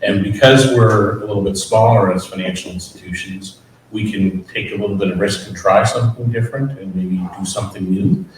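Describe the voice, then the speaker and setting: A low-voiced man speaks on a panel, relaxed and lightly joking, with plenty of uhs. The mic sits far from him in a very echoey room, with a faint hiss underneath. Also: brisk. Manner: easygoing, joking, with uh and um fillers. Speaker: credit union executive. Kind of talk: panel discussion.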